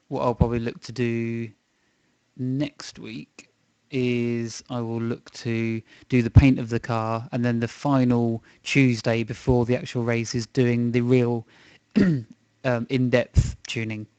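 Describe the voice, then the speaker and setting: A man with a croaky voice chatting casually while setting up and driving in a racing game on a live stream, thinking aloud as he goes. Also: medium pitch, flat delivery, takes it slowly, darkish tone, no room sound, lo-fi sound. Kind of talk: casual gaming stream chat. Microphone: desk microphone.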